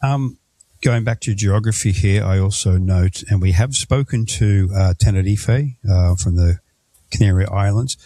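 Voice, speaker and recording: A man hosts a travel podcast in a casual, conversational way and keeps asking his guests questions. His voice sits a little low and sounds mellow. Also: normal speed, dry recording. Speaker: podcast host. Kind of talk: casual interview chat.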